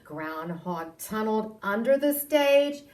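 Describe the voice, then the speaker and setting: A woman reading a picture book aloud for children, expressively and with dramatic pauses. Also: a little high, lively intonation, a little mellow, a little hiss or hum. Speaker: library storytime reader. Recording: roomy echo, distant microphone.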